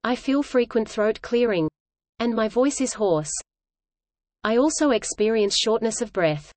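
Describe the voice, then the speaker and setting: A woman with a fairly high voice reads a scripted English-lesson dialogue, steady and measured, each line repeated for listeners to follow. The sound is dry and close, with no room around it. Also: no room sound.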